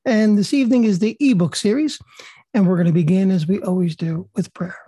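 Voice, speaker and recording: A woman hosting an online prayer and reading group, reciting prayers calmly and then chatting with many fillers and restarts over a video call. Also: medium pitch, husky, no room sound, clean studio sound. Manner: calm and measured, with many fillers and restarts in chat. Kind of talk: leading prayers, then casual group chat.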